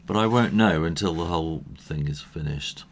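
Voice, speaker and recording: A man with a tired, croaky voice, thinking aloud with soft chuckles as he teaches drawing and painting. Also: deep voice, moderate pace, slight background noise. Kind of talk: casual drawing tutorial narration.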